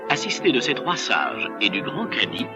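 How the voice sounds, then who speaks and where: A man's voice: mid-range voice, wildly swooping pitch, normal speed. A man reading scripted storybook narration. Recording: music behind the voice. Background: music.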